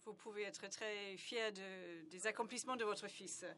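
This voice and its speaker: A woman's voice: mid-range voice, fairly bright tone. A woman gives live simultaneous interpretation of a conference speech. She hesitates often and fills the gaps with stalling sounds. She restarts phrases mid-word, then carries on. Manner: halting, many hesitations and restarts.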